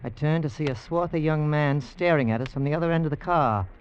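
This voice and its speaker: An actor playing an earnest American oil engineer with an American accent, telling his adventure in measured old-time radio drama style. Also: a little high, a little hiss or hum, lightly acted. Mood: earnest, suspenseful. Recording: muffled vintage radio recording.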